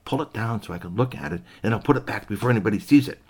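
A man's voice: on the low side, very fast, darkish tone, slightly rough. A man voicing a curious ten-year-old boy who muses aloud about reaching a toy. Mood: wondering. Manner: wondering, musing aloud.

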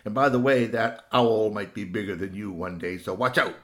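A man's voice: on the low side, moderate pace, fairly bright tone, natural voice. A man playing a stern human protector of small creatures in a children's story, blunt and scolding.

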